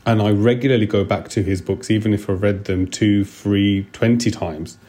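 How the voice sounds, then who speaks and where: Low-pitched, mellow-voiced man giving a book review. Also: steady tone, moderate pace. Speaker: GP and training programme director, podcast guest. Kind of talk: prepared book review, spoken to listeners.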